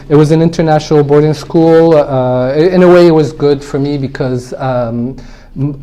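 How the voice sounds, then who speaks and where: A man with a French accent, a French native speaker, telling his life story in a relaxed interview, hesitating often and laughing easily. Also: mid-range voice. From born in El Salvador, raised abroad. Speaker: winemaker guest.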